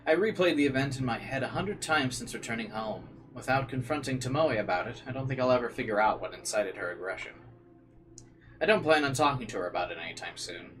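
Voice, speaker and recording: A man's voice: medium pitch, lively intonation, fast, fairly bright tone, a little hiss or hum. A man reading visual novel narration aloud as a streamer, dropping into informal side comments and occasionally stumbling over words.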